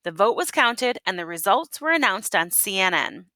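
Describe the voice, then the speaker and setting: Bright-voiced woman reading a storybook aloud. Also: medium pitch, very animated, moderate pace, dry recording. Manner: teacherly, prompting listeners with questions. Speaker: teacher reading a picture book aloud to children. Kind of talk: expressive read-aloud storytelling with asides and questions.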